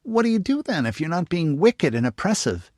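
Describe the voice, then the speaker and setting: A man's voice: dry recording, clean studio sound. Man doing a blunt eager little girl. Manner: excited, high and quick. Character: eager nosy young girl, gleefully blunt.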